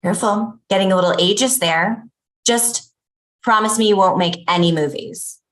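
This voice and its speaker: A woman's voice: medium pitch, normal speed, a bit bright, natural voice, poor audio. A woman playing a controlling, self-important ad agency boss in a comedy table read, curt and commanding with a touch of pomposity. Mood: demanding.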